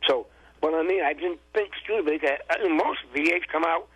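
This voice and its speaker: A man chats about old cars on a radio show in a folksy, informal way. His voice is husky and a little high for a man. The sound is dry and close, with no room around it. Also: a little fast, dry recording, muffled recording. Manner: folksy and informal, loose grammar. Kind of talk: casual on-air car chat.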